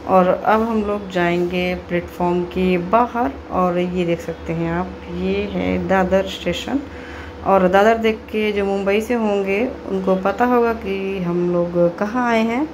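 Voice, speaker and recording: A woman's voice: mid-range voice, fairly even intonation, unhurried, noisy background. A woman and mother narrating her family's train journey in a loose, chatty travel-vlog style, mixing in English words and fillers.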